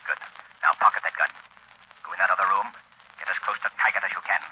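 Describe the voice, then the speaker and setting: An actor playing a shrewd, commanding vigilante in an old radio drama, speaking with crisp authority. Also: male voice, low voice, fast talker. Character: shrewd, commanding crime-fighting vigilante, adult man. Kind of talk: 1930s-40s radio drama acting. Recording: muffled, noisy old radio recording.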